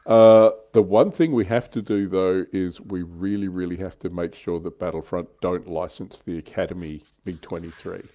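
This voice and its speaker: A man's voice: sits low, steady tone, normal speed, few pauses, dark tone. A man hosting a livestream in casual hobby chat, with a wry, offhand manner. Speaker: livestream host.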